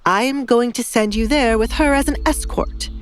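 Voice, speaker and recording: A woman's voice: a little high, normal speed, soft background music, pitched above the performer's own voice, lightly acted. A woman voicing a wary, scheming middle-aged noble father in an audiobook, stern and commanding.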